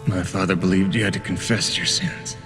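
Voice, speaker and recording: Actor as a low-voiced, weary old man. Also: fairly even intonation, brisk, big-room sound, over music, close to an everyday voice. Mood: weary regret. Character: weary, remorseful old man making a confession. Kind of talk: video game cutscene acting.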